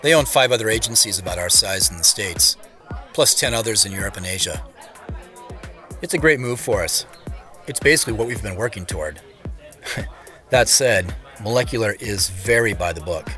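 Self-deprecating tech boss, naturalistic radio drama, conversational, forceful low-pitched voice, light music behind. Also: male voice, lively intonation, normal speed, long pauses. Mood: upbeat, casual. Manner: casual, wry, conversational. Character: busy young tech CEO brother, breezy, self-deprecating. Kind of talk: naturalistic modern audio drama acting.

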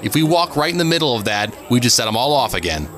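An actor playing a cautious, hopeful police officer in an audio drama, earnest and questioning. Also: male voice, medium pitch, big pitch swings, fast talker, a bit bright. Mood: anxious. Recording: outdoor city noise behind. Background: urban street ambience.

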